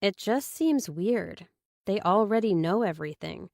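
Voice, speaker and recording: A woman's voice: medium pitch, expressive, measured pace, gravelly, darkish tone, no room sound, studio quality. A woman voicing a wary, reluctant teenage girl in an audiobook, hesitant and questioning. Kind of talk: audiobook character dialogue.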